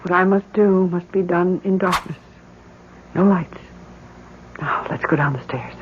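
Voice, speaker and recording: A woman's voice: on the low side, unhurried, lightly acted. A woman acting a desperate, grief-stricken mother who pleads theatrically and solemnly in an old-time radio drama. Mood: determined. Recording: dull, very noisy old radio recording.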